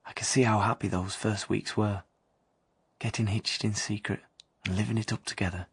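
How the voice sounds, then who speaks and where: A man's voice: on the low side, flat delivery, normal speed, husky, no echo. A young man acting a blunt, romantic working-class drifter in a radio play, with colloquial, plain-spoken delivery. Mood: wistful. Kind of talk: radio play acting and first-person narration.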